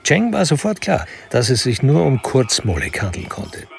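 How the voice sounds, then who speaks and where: An actor narrating a literary crime radio play as a wry, reflective storyteller. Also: male voice, medium pitch, wildly swooping pitch, moderate pace, gravelly. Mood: dry.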